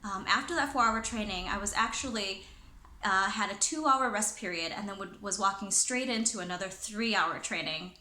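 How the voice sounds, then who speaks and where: Woman, presenter, chatty with fillers, crisp bright tone, roomy echo. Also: medium pitch, moderate pace. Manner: chatty with many fillers and restarts. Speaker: presenter and trainer. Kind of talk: casual talking-head advice.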